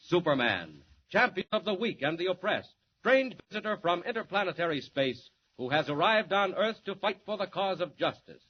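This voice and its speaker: A man's voice: fairly high pitch, muffled recording. A man announcing and narrating a 1940s radio serial in a dramatic, declamatory way. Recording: scratchy 1940s radio transcription.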